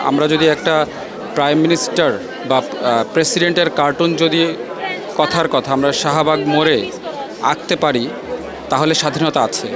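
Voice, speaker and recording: A man with a Bangladeshi accent giving his view as a visitor in a vox pop interview. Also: a little high. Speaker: exhibition visitor. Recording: crowded, noisy public space.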